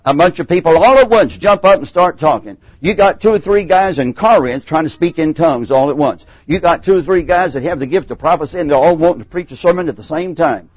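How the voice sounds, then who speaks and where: A middle-aged man with a Texan accent teaching a Bible class in a classroom, animated and acting out the voices he quotes. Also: a little high, fairly quick, few pauses, husky, dry recording, lo-fi sound. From Texas.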